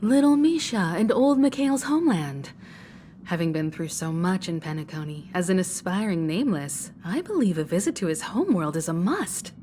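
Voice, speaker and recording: Poised, expressive mature woman played by an actress. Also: on the low side, normal speed, slight background noise, lightly acted. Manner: poised, gentle advising. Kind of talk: video game character dialogue.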